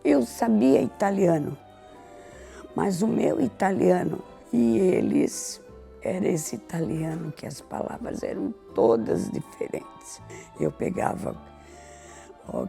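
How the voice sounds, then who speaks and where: An older woman, recounting memories as an interviewee in an animated storytelling way. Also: on the low side, creaky. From southern Brazil. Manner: animated storytelling, acts out classroom scenes.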